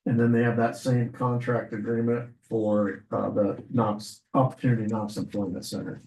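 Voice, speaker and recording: A man losing his voice, presenting agenda items at a public meeting in a businesslike way, clearing his throat often. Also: medium pitch, flat delivery, normal speed, a little mellow. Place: county meeting room. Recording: echoey meeting room, distant mic.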